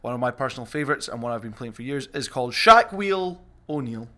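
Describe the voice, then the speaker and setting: A man's voice: medium pitch, fast talker. A man hosting a podcast party game in a playful, casual, bantering way. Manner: playful, animated. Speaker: podcast host and game presenter.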